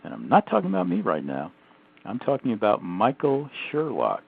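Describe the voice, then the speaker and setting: Animated radio host with gravelly low voice. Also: male voice, normal speed. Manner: emphatic, animated, frequent fillers. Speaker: radio talk show host. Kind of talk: radio interview with lively promotional delivery. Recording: tinny phone-like audio.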